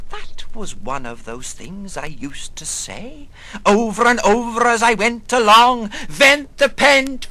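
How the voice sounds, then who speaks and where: An actor playing a weary, rambling old wanderer in a literary monologue, morbidly wry, with a halting, musing, theatrical delivery. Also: male voice, high voice, pushed and bright, heavily acted, rough recording. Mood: agitated, insistent. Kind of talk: dramatic literary monologue reading.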